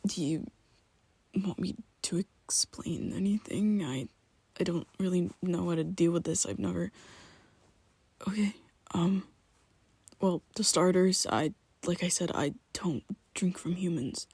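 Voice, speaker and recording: A man playing a soft-whispering guilty vampire friend. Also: high voice, measured pace, dry recording, full character voice. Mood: confessing. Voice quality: soft breathy half-whisper. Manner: hesitant, stumbling restarts, pleading. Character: young male vampire best friend, guilty and tender. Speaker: ASMR roleplay voice actor. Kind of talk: ASMR roleplay.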